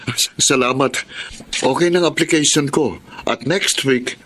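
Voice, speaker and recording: An actor playing an earnest, devoted, hardworking boyfriend in a Tagalog radio drama, speaking in a heartfelt way. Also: male voice, mid-range voice, unhurried, fairly bright tone. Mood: excited, tender. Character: Robert, devoted hardworking boyfriend, adult man.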